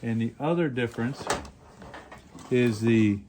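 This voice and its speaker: A man's voice: mid-range voice, measured pace. A man giving a casual hands-on product review as a video host, explaining features plainly and step by step as he handles the gear. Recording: clearly noisy home-made recording.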